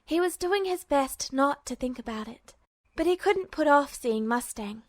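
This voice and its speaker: A woman with a fairly high voice narrating a story in a steady, storytelling manner. The recording is close and dry with no room sound. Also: normal speed, no echo. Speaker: podfic reader. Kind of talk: scripted fiction narration.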